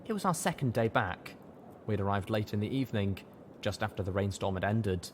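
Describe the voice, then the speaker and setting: A man reading first-person fiction aloud with steady, dramatic storytelling. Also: fairly low pitch, a little fast, a little hiss or hum. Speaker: audiobook reader.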